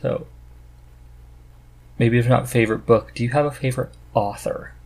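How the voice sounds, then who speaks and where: A man in his thirties with a husky voice, chatting casually as the host of a podcast. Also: medium pitch, normal speed, a little mellow. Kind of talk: casual chat about books.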